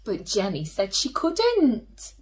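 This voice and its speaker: A woman reading aloud expressively to young children as a storyteller, gently putting questions to her listeners. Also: mid-range voice, huge pitch swings, takes it slowly.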